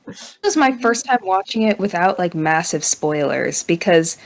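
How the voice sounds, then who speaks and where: A woman's voice: on the low side, lively intonation, moderate pace, crowd noise. A woman chatting informally and self-deprecatingly in a group podcast. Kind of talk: casual group chat.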